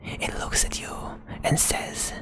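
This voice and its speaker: A woman whispering a tabletop roleplay adventure as game master, in slow, soft second-person storytelling. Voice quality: breathy whisper.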